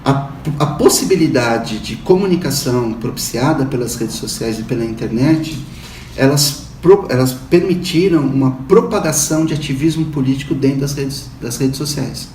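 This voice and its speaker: A Brazilian man in his fifties, a university professor, explaining in a measured, academic way in an interview. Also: medium pitch, animated, darkish tone, faint music behind. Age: 50s. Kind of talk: interview answers. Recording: roomy echo, distant mic, faint ambience.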